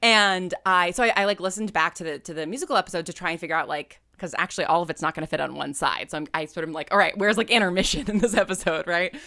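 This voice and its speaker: A woman speaking as a guest in a casual, reflective podcast interview, effusive and halting, with emphatic repetition. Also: lowish voice, sharp tone, no echo, studio quality. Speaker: interview guest, audio fiction writer and director.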